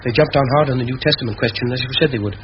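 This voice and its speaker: An ardent young Welshman acted by a man, newly eloquent and restless, pours out his words in a Welsh accent. The voice is mid-pitched, in 1940s radio drama style. The old recording is muffled, with strong background noise. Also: racing, close to an everyday voice. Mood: wry, dejected. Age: young adult. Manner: fervent, articulate, pouring out words. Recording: dull, noisy vintage radio recording.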